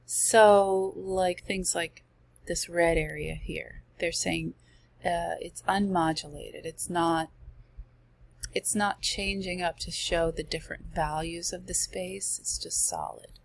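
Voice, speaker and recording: Slow gravelly woman losing her voice. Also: lowish voice, steady tone. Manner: chatty, reads passages then comments with asides. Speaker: student presenting slides. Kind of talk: casual slide-by-slide art lesson.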